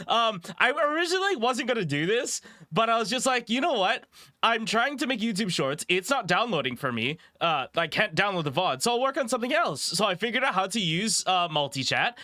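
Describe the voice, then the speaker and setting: A man with a raspy voice chatting casually and rambling in a self-deprecating way as he livestreams a game. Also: high voice, sing-song, brisk, bright, forceful tone, dry recording, clean studio sound. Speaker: livestreamer, VTuber host. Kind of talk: casual gaming stream chat.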